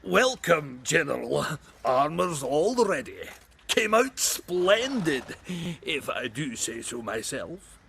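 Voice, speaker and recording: An actor playing a blustering, boastful dwarf smith in a fantasy game, blunt and grumbling with a raspy voice. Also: male voice, a little high, sing-song, slow, a bit bright, slight background noise, full character voice. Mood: proud. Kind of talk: fantasy video game acting.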